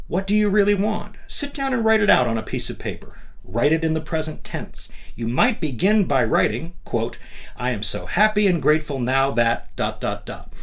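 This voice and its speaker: A man's voice: mid-range voice, a bit bright. A man hosting a motivational book reading, emphatic and instructive, with conversational asides and rhetorical questions. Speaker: host reading a book aloud.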